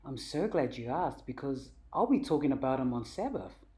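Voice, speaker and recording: A woman's voice: a bass voice, sing-song, moderate pace, dark tone. A woman voicing a friendly, patient father who explains things in a storybook. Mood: easygoing.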